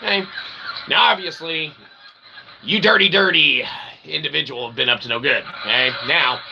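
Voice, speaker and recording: A man's voice: on the high side, moderate pace, creaky, background noise. A man hosting a rambling comedic livestream in a self-deprecating mock-showman manner, often tagging lines with questioning little catchphrases. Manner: repeats tag phrases like "okay?" and "eh?". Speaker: livestream host.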